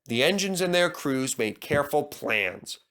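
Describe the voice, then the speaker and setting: Bright, animated male storyteller. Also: fairly high pitch, a little slow. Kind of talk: animated children's story narration.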